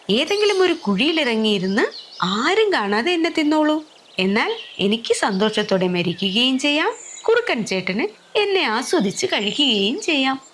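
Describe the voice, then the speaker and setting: A woman's voice: high-pitched, a bit bright, slight background noise. A woman voicing a clever young female lamb who pleads politely and coaxingly in a children's storybook tale.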